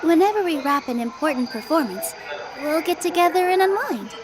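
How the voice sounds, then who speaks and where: A woman voicing a kind, graceful young theater dancer in a video game, speaking gently and courteously. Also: high-pitched, lively intonation, a little fast, crowd noise. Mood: friendly, explaining. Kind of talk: scripted video game dialogue.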